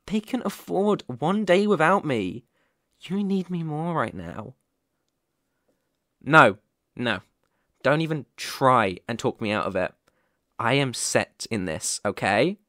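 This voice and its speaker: A man's voice: on the high side, fairly bright tone, dry recording, clean studio sound. A man playing a caring, worried boyfriend in an ASMR roleplay, talking in a soothing, tender, reassuring way. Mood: firm, caring. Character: caring, worried boyfriend fussing tenderly over sick partner.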